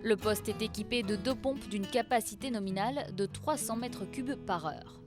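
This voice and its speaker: A woman reads a scripted informational narration in a measured, factual way. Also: mid-range voice. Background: music.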